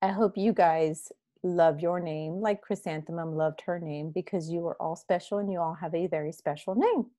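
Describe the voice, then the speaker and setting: A woman with a slightly low voice reads a children's story aloud. Her delivery is expressive and clearly phrased for young listeners. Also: moderate pace. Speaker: school counselor reading aloud.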